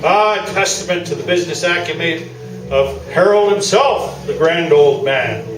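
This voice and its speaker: A man's voice: on the high side, a little slow, lightly acted. An actor playing a pompous, self-satisfied male sports columnist who pontificates grandly in a live comic radio play. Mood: smugly defensive. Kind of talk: staged talk-show debate, comic radio play. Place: live theatre, mic at a distance. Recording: roomy distant stage recording, music behind.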